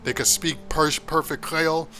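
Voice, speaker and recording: Bright-voiced American man teaching scripture. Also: fairly high pitch, fairly even intonation, moderate pace, faint music behind. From United States. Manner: conversational and emphatic, with frequent restarts. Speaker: Bible teacher. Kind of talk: informal Bible lesson.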